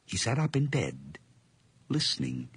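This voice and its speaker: Deep, husky, sly madman. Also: wildly swooping pitch, a bit bright, heavily acted. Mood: stealthy, sinister. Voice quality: husky, breathy, often near whisper. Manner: theatrical, deliberate, lingering on repeated words. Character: sly, obsessive, gloating madman confessing a murder plot. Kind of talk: dramatic gothic radio monologue.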